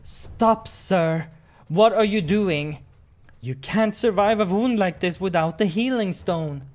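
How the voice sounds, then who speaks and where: A man's voice: sits high, slow, pitched above the performer's own voice. A man voicing a brave, indignant young hero in a visual novel, speaking in an impassioned, emphatic way. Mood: alarmed pleading.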